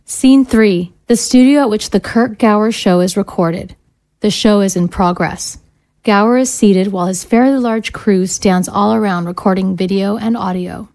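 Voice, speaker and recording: A woman narrates an audio drama script, reading out the scene directions. Her voice is at an average female pitch, in a measured scripted style. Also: darkish tone.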